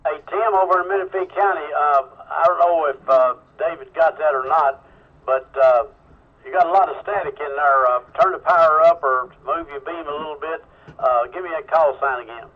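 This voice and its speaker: Male net control operator, folksy and informal, fairly high voice, thin phone-like radio sound. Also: normal speed. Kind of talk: casual radio check-in chat. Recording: thin phone-like radio audio, webcam mic.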